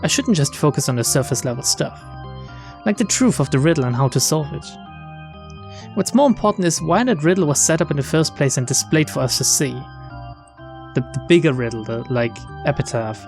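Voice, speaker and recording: A let's player with a mid-pitched voice reads visual novel text and comments casually on it. Game music plays faintly behind the talk. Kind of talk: casual playthrough commentary and read-aloud narration.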